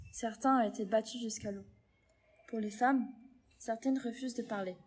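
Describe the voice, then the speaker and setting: A woman playing a grave nurse in a French audio drama, speaking in a measured, sombre way. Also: on the high side, darkish tone, slightly rough. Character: grave nurse reporting on weakened camp survivors.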